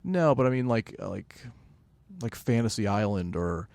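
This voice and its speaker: Low-voiced man chatting haltingly. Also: animated, gravelly, a little mellow, dry recording. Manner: halting, rambling, self-correcting. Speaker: podcast co-host. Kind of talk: casual pop-culture chat.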